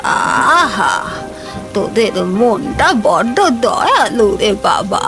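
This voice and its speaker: Frail, slow old beggar granny. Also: female voice, mid-range voice, sing-song, over music. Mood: grateful. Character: frail, hungry, kindly old beggar woman. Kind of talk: Bengali animated moral story voice acting.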